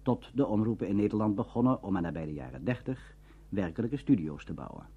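A man narrates a documentary a formal script. His low voice moves expressively up and down, on a dull archive radio recording. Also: expressive, fast, husky. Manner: formal, carefully worded.

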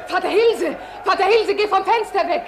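Very high-pitched, urgent village messenger. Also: female voice, moderate pace, slight background noise. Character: excited female villager bringing alarming news. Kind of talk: German radio drama acting. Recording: radio drama with crowd behind.

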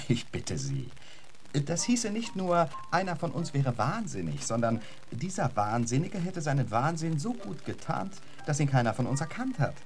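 An actor playing an urbane, articulate writer in a German radio drama, with an eloquent, emphatic and somewhat arch manner. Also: male voice, a little high, huge pitch swings, normal speed, darkish tone, soft background music. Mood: incredulous. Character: urbane, articulate male writer, incredulous and theatrical.

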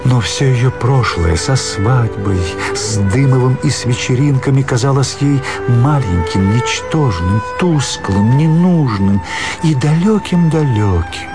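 A man's voice: medium pitch, expressive, measured pace, natural voice. A man narrating a literary story dramatically as part of a radio play. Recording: music bed under the voice.

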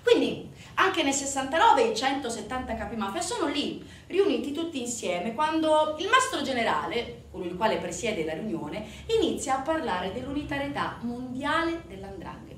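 A woman performing a theatrical monologue, telling a story conversationally to an audience with rhetorical questions and dramatic pauses. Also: high-pitched, big pitch swings. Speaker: stage actress performing a monologue. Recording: roomy, distant stage recording with faint noise.

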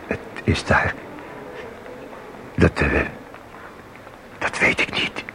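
An actor in a radio play playing a nervous, hesitant museum visitor, halting and stammering as he repeats himself. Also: pushed and bright, slight background noise, low-quality recording. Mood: hesitant, uneasy.

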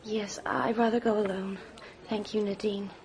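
An actress playing a fragile, uneasy young daughter in a radio drama, anxious and pleading. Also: female voice, medium pitch, level tone, moderate pace, darkish tone, some chatter behind, lightly acted. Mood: submissive. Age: young adult.

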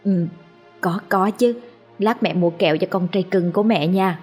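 A woman with a Southern Vietnamese accent voicing a poor, long-suffering young mother who speaks softly, as if holding back tears. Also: fairly low pitch, moderate pace, breathy edge, faint music behind. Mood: tearful, tender. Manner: soft, choked, tender.

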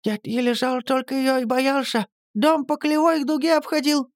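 A storyteller voicing a pale, frightened village girl who lisps and chatters fast. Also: high voice, lively intonation, gravelly, no echo, clean studio sound, voice pushed up. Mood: grim. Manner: lisping, mumbling, rapid chatter.

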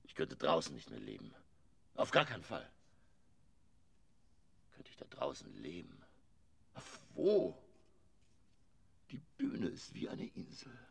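Radio play: self-absorbed stage tragedian, low gravelly voice, declamatory, rambling, melancholy. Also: male voice, wildly swooping pitch, slow, pauses often, a bit bright, heavily acted. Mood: wistful, declaiming. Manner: declamatory, rambling, dramatic swings from whisper to outburst. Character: theatrical, self-absorbed, melancholy male stage actor living for theatre. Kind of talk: German radio drama monologue.

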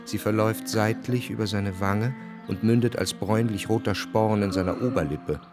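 A man narrating a radio drama in a measured, matter-of-fact literary style. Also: on the low side, close to an everyday voice. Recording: music behind the voice.

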